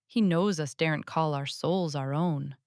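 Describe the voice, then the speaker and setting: A woman's voice: low-pitched, a little slow, a little mellow, no echo, studio quality. A woman voicing a flustered young Yorkshire housemaid who exclaims and frets in dialect, in a storybook reading. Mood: amazed. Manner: exclaiming, dialect speech, agitated.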